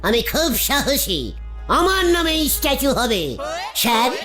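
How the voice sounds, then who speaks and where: High voiced boastful cowardly uncle, cartoon style, blustery, music behind. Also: female voice, expressive, measured pace, a bit bright. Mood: pleading, boastful. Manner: blustering, stammers when startled. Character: boastful cowardly uncle craving fame as martyr. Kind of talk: cartoon voice acting.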